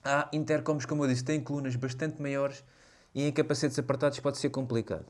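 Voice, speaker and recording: A man's voice: medium pitch, fairly even intonation, a little fast, a little hiss or hum. A man with a European Portuguese accent talks casually and explains things conversationally, as a motorcycle vlogger reviewing gear to camera.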